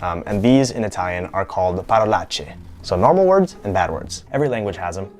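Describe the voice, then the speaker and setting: A man's voice: sits low, animated, a little fast, a little mellow. A young man from the United States presenting a scripted vlog in a playful, lightly joking manner. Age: young adult. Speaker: YouTube creator.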